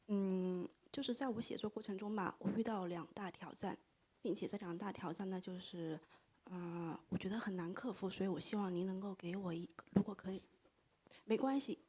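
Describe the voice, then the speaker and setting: A woman in her thirties whose first language is Chinese, asking a panel a question from the audience, soft-spoken and hesitant. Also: medium pitch, husky. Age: 30s. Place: bookstore event space.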